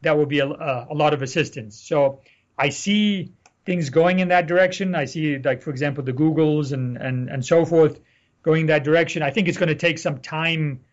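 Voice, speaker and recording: A man's voice: on the high side, moderate pace. A man from Egypt, a guest on an interview podcast, explains carefully and restarts his phrases. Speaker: guest researcher. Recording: dull lo-fi sound.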